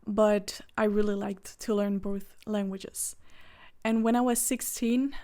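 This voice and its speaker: Young Belgian woman with flat delivery. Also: mid-range voice. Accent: Belgian Dutch. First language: Dutch. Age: 20s. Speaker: vlogger. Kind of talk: personal storytelling to camera.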